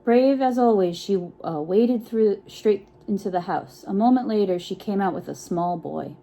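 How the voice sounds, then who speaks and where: A woman reading a picture book aloud to children in an expressive, encouraging way, with chatty asides and frequent check-in questions. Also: mid-range voice, moderate pace, low-quality recording. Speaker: children's storytime reader.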